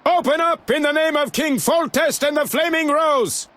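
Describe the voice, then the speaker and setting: A menacing, fanatical monk as a fantasy game villain. He shouts his threats in rage, pitched extremely high for a man. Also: moderate pace. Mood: furious, threatening. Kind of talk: video game voice acting.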